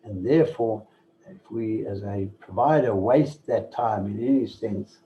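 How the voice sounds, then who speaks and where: A man giving an online masterclass from slides, measured and listing points with pauses and fillers, over a computer mic without a headset. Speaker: masterclass presenter. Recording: dull low-fi online call audio with faint noise.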